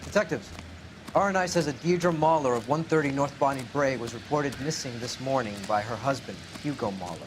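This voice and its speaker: A man's voice: medium pitch, a little fast, background noise, lightly acted. A man playing a dutiful policeman giving a formal, factual report in a video game. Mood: official. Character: dutiful male uniformed policeman reporting to detectives. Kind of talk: video game acting, crime drama.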